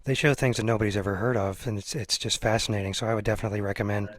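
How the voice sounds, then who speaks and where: A man's voice: lowish voice, fairly even intonation, very fast. An American man hosting a casual interview, chatting conversationally as he asks questions. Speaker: interviewer, podcast host.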